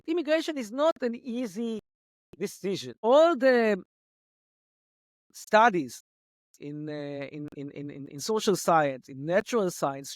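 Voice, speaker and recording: A man's voice: sits high, dry recording, studio quality. A man, a guest, lecturing in an argumentative, analytical way and answering objections he raises himself. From Israel. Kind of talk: analytical debate.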